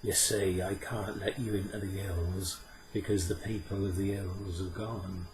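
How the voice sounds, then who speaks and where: A man voicing a sly, ancient male sprite who talks in a measured way and sometimes chants in a slow sing-song. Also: low-pitched, level tone, a little hiss or hum, rough recording. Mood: knowing.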